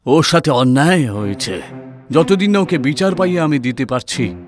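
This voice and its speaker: An actor playing a grave holy man, a village exorcist, in an animated horror story. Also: fairly high pitch, moderate pace, over music, lightly acted.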